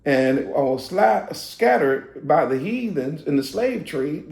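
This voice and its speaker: High gravelly preacher in an echoey room. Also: male voice. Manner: loose, shouting and laughing, then slow verse reading. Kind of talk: street-style sermon teaching.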